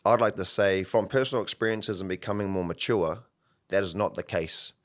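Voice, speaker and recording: A young man co-hosts a casual podcast. His voice sits very low and he talks in an easygoing, reflective way. He often draws out his phrases. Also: fairly quick. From New Zealand. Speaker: podcast co-host, coach.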